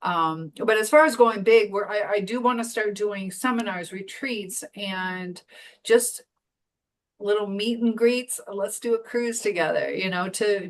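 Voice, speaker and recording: Chatty woman guest on a video call, lots of ums and likes, mid-pitched voice. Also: slow. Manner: chatty, many fillers and restarts. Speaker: community founder guest. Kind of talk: casual interview chat.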